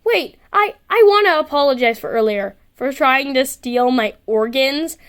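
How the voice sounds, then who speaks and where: Audio fiction role: woman doing a soft-mannered boy, high-pitched, sweetly polite, quietly sly. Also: lively intonation, measured pace, smooth. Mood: apologetic. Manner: gentle, apologetic, coaxing.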